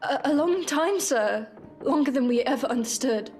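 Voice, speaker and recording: A woman's voice: sits high, lively intonation, moderate pace, darkish tone, soft background music. A woman playing a timid, frightened young seamstress in an audio drama, hesitant and deferential. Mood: timid, nervous. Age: young adult.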